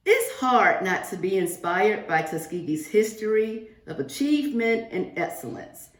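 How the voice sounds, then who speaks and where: Casting: female storybook reader for young kids, medium pitch, expressive and direct, recorded with noticeable room echo. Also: unhurried. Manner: expressive, addressing children directly. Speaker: guest picture-book reader for a school class. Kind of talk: read-aloud storytelling to young children. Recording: roomy, echoing virtual-reading audio.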